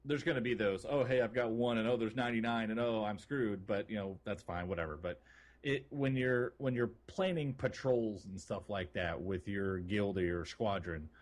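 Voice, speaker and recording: A man's voice: on the low side, moderate pace. A man co-hosting a gaming podcast, talking in an informal, conversational way. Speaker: podcast co-host. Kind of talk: casual gaming podcast chat.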